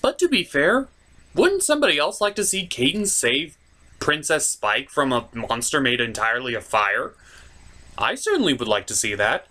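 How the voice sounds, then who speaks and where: A man's voice: a little high, sing-song, normal speed, sharp tone. A man hosting a comedic cartoon review, narrating casually in a sarcastic, irreverent way. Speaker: host of a cartoon review show.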